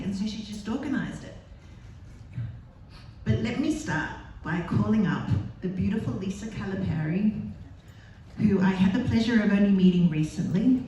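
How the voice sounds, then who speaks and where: A woman's voice: medium pitch, dark tone, recorded from a distance, slight background noise. Effusive woman moderator in echoey hall. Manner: effusive, praising. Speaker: panel moderator. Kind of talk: introducing a speaker at a live event. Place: large synagogue hall.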